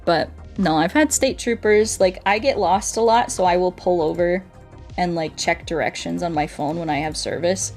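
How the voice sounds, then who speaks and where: Young American female streamer, slightly low voice, informal and rambling storytelling delivery, faint music behind. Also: normal speed, darkish tone. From United States. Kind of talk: casual livestream chat while gaming. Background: background music.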